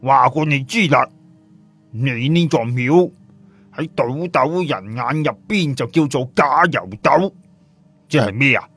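A man's voice: mid-range voice, lively intonation, measured pace, a bit bright, slightly rough, soft background music, exaggerated voice. A man voicing a brash, scornful, streetwise fellow with a blunt, slangy, mocking manner in an audio story. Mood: scornful explaining.

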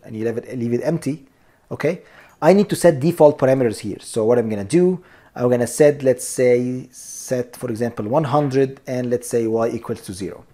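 A man's voice: medium pitch, warm tone. A man teaching a programming lesson over a screen recording, explaining each step and checking in with "okay?" and "here we go" as he addresses viewers as "you guys.". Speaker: programming instructor.

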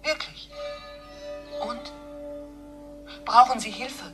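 A woman's voice: a little high, drawn-out words, pushed and bright, soft background music, full character voice. An actress playing an anxious, helpful and polite female front-desk clerk in a radio play. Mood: worried. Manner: polite, concerned.

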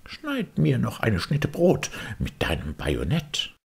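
A man's voice: medium pitch, huge pitch swings, takes it slowly, gravelly, husky, pitched above the performer's own voice, exaggerated voice. A man voicing a callous, gluttonous carpenter in a storybook, with an offhand, mock-polite manner. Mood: greedy.

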